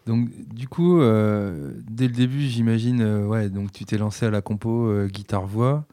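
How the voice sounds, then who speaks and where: Low, mellow male interview host. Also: steady tone, normal speed, no room sound. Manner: hesitant, many fillers and restarts. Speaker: radio show host. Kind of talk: casual interview questions.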